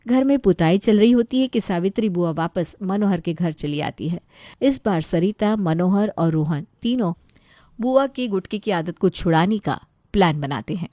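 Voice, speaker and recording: A woman's voice: on the low side, expressive, normal speed, mellow, no echo. A woman narrating a scripted story as a storyteller.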